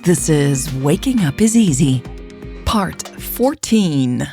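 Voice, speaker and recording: A woman's voice: deep voice, animated, slow, mellow, soft background music. A woman narrating a scripted first-person story for a podcast in an intimate, confiding way.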